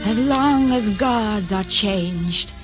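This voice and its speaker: A woman's voice: mid-range voice, drawn-out words. An actress playing a devoted, self-sacrificing lady lover in an old-time radio romance, speaking in a solemn, declamatory way. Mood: wistful. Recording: muffled old radio broadcast, music behind.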